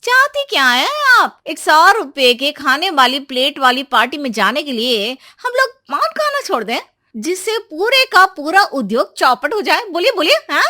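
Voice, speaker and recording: A sassy young daughter-in-law acted out by a woman with a high, bright voice. She argues back insistently and quickly, in the manner of an animated family comedy. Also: full character voice. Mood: indignant. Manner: rapid, insistent, talking back. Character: sassy, argumentative young daughter-in-law who loves paan.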